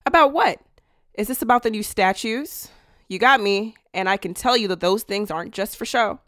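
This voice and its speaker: A woman's voice: mid-range voice, normal speed, a bit bright, no echo, studio quality, close to an everyday voice. A woman playing a brash, proud, casually blunt woman in an anime fan drama, with a teasing manner.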